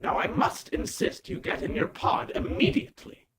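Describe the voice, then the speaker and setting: A man voicing an urgent, formal reptilian scientist for a storybook, in a hushed and breathy delivery. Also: normal speed, noisy background, close to an everyday voice. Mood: insistent.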